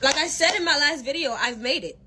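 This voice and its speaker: Animated Haitian woman vlogger. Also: high voice, fairly quick, a little hiss or hum. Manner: animated, slangy, explains and exclaims. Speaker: vlogger reacting to clips. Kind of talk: casual reaction vlog.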